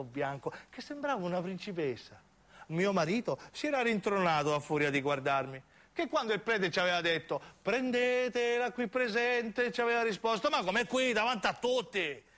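A man's voice: high voice, expressive, moderate pace, fairly bright tone. A man voicing a vain, motherly old woman with a southern Italian accent, telling folksy, boastful stories on stage. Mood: proud, coquettish.

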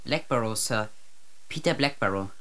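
A man's voice: on the low side, expressive, moderate pace, fairly bright tone. An actor playing a timid but eager teenage ship's boy in a radio drama, hesitant and deferential. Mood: nervous, deferential.

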